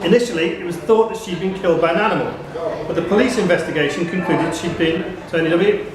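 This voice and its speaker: A man's voice: high-pitched, fast talker. A man working as quiz master, reading out questions at a live pub quiz. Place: indoor pub quiz venue. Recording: echoey crowded pub room. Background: clear crowd chatter.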